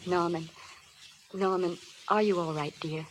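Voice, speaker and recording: An actress playing a devoted, anguished young wife in an old radio drama. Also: female voice, on the low side, fairly even intonation, measured pace, lightly acted. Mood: tender, sorrowful. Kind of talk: 1930s-40s radio drama acting. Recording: old radio broadcast with hissy noise.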